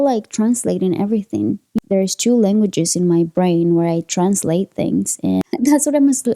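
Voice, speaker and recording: A woman's voice: mid-range voice, dark tone, dry recording, studio quality. A young woman whose first language is Spanish, hosting a podcast with an earnest, encouraging monologue that repeats key phrases. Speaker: podcast host.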